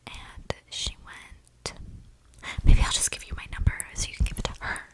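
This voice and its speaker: A woman playing an eccentric, flirty female vampire in a whispered ASMR role-play, rambling casually in breathy slang with audible breaths close to the mic. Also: moderate pace, natural voice. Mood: sassy, flirty. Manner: slangy, gossipy, conspiratorial, rambling. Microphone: close ASMR microphone.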